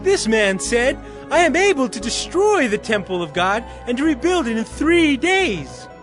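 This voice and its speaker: A man's voice: very high-pitched, very animated, a little slow, fairly bright tone, faint music behind, exaggerated voice. A man playing an agitated biblical character speaking out in a dramatised audio Bible. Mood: accusing. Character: agitated, high-voiced man testifying or confessing.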